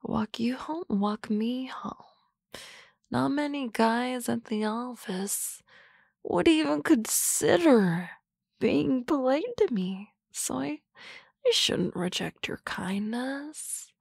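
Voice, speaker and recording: A drunk young boss, flustered and rambling, drifting between slow talk and soft whispers in a gravelly, unhurried voice. A woman acts her out close to a dry microphone. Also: on the high side, expressive, no echo, heavily acted. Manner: slurry, rambling, often whispering. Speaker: voice actress. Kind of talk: ASMR roleplay.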